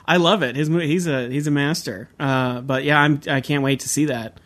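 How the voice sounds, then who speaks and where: Chatty higher-voiced male podcast host. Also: steady tone, normal speed, a bit bright. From Southern California. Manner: chatty, enthusiastic, laughs often. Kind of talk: casual podcast chat.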